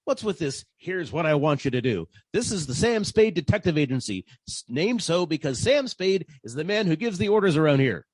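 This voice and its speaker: An actor playing a weary, sarcastic private detective with a husky voice and an exasperated manner, in a 1940s style radio comedy. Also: male voice, fairly high pitch, sing-song, fairly quick, few pauses, dry recording. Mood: impatient.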